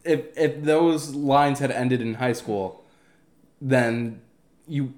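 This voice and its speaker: A man's voice: mid-range voice, steady tone, slow, a little mellow. A man hosting a podcast conversation who keeps prompting with curious questions.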